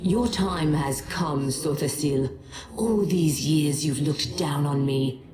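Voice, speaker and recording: A woman's voice: deep voice, slow, darkish tone, slight background noise. A woman playing a bitter, imperious goddess villain in a fantasy game, haughty and declamatory. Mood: cold contempt. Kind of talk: theatrical villain game acting. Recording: echoey, faint music behind.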